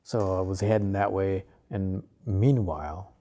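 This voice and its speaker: A man in his fifties from Malaysia, an artist talking about his life in an interview, unhurried and full of pauses and fillers. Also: low voice, dark tone. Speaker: artist guest. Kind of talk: relaxed interview conversation.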